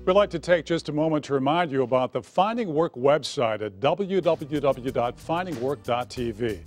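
A man reading a scripted program announcement in a clear, deliberate way. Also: on the high side, darkish tone.

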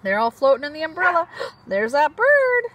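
A woman preschool teacher reading a picture book aloud to young children in an animated, expressive way, with chatty asides. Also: high voice, moderate pace, a little hiss or hum.

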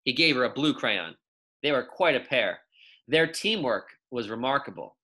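A young man reading a children's story aloud as a teacher, with expressive, emphatic delivery and drawn-out key words. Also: mid-range voice. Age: young adult.

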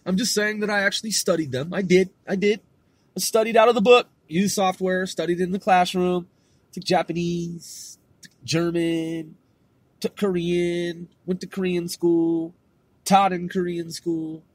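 A man with a West Coast accent rambles through his solo podcast in loose slang. His voice sits fairly high for a man and has a husky edge. The recording is close and dry, with no room sound. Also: level tone, a little slow, dry recording. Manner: rambling, laid-back slangy talk with lots of swearing and fillers. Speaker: podcast host. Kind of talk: casual solo monologue.

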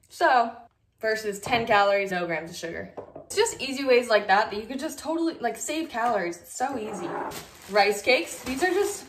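A woman's voice: mid-range voice, echoey room, slight background noise. A young woman in her twenties chatting casually through a grocery haul vlog in her home kitchen, filler-heavy and friendly with the camera. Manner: chatty, lots of "like", showing items to camera. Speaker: lifestyle vlogger.

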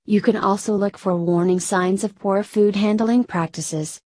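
A woman reading a news article aloud in a steady, scripted delivery. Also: mid-range voice, measured pace, no echo. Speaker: news reader.

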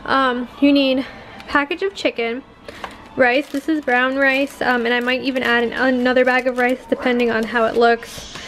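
A woman's voice: high voice, flat delivery, normal speed, fairly bright tone, slight background noise. A young woman chatting casually to viewers as she talks through family recipes in a voice-over. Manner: chatty, talking straight to viewers with fillers. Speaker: family vlogger.

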